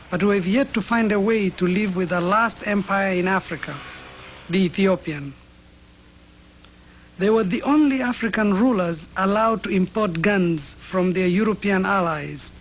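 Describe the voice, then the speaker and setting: A man narrating a documentary in an earnest, measured scripted delivery. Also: sits high, slight background noise.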